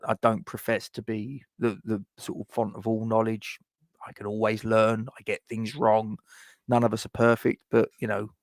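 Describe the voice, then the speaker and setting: A man's voice: fairly low pitch, no echo. A middle-aged man hosts a casual live chat, reading viewer comments and rambling with lots of fillers and restarts.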